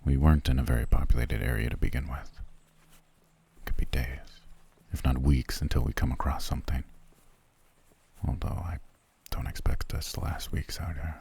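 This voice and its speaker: A man playing a cold, haughty soldier prince in a fantasy audio roleplay, curt and disdainful, with a gruff, breathy voice. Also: steady tone, moderate pace, warm tone, exaggerated voice. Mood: commanding, dry. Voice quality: very deep, gravelly, breathy. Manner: curt, disdainful, dry, low-key grumbling. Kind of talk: ASMR roleplay acting.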